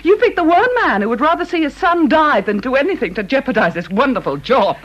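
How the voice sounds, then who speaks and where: Muffled radio drama, bitter swooping wife. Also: female voice, high-pitched, expressive, fairly quick. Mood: bitter sarcasm. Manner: sarcastic, biting. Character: bitter, scornful wife, sarcastic and cold.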